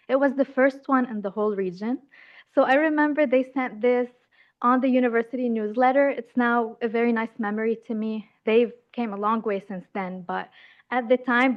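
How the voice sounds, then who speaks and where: A woman's voice: on the high side, muffled recording. A young woman from Lebanon gives a friendly, enthusiastic seminar talk, telling the story of her own studies. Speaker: graduate student presenter. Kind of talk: seminar presentation.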